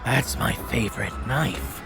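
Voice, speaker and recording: Man acting a slow, gravelly, mocking villain. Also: medium pitch, over loud music, lightly acted. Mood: taunting. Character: taunting, cackling male gunman villain. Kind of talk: audio drama acting.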